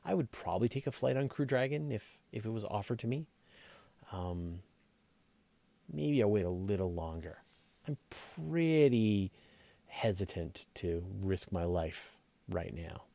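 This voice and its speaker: A man's voice: on the low side, expressive, measured pace, creaky, warm tone, no echo. A man hosting a casual live science Q&A, reading out questions and answering off the cuff, thinking aloud and rephrasing as he explains in a conversational way. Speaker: host of a live astronomy Q&A, science journalist.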